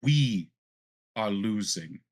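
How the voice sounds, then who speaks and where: A man voicing a frail elven noblewoman in a tabletop game, earnest and solemn, tender yet quietly indignant. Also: deep voice, unhurried, breathy edge. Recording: music behind the voice.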